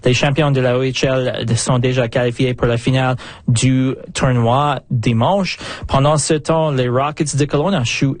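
A man's voice: mid-range voice, dry recording. A man giving a live radio sports update as a reporter, stumbling over words now and then.

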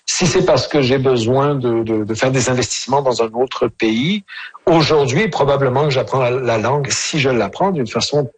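A man's voice: mid-range voice, normal speed, breathy edge, low-quality recording. A man, answering radio interview questions thoughtfully, with many hesitations and restarts. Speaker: author and interview guest.

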